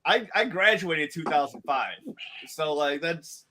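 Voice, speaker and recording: A man's voice: on the high side, lively intonation, measured pace, bright, forceful tone, a little hiss or hum. A man in his mid-thirties in casual chat, laid-back, full of "dude" and "like.". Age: 30s.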